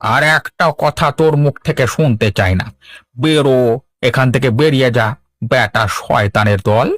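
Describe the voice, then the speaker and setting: A man's voice: medium pitch, moderate pace, fairly bright tone, lightly acted. A man playing a hot-tempered, jealous fish trader in a cartoon, scornful and domineering. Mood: angry. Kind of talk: Bengali cartoon dub.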